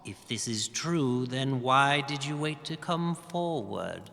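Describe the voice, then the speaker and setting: An actor playing a controlling nobleman of measured, formal authority and grave concern in a fantasy audio drama. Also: medium pitch, expressive, gravelly, fairly bright tone, exaggerated voice. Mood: grave questioning. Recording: echoing hall with long reverb.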